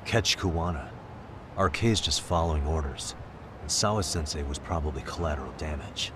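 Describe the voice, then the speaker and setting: An actor playing a cool, hard-nosed private detective in a video game dub, measured and matter-of-fact. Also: male voice, rumbling low, noisy background. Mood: cool, analytical.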